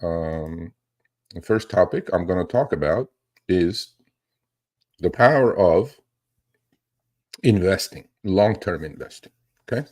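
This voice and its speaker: A man's voice: low voice, lively intonation, slow, pauses often, darkish tone. A man hosting a casual, unscripted livestream as an investing educator, talking in a rambling, emphatic way and often checking in with "okay?" or "right?". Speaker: live stream host, investing educator and trader.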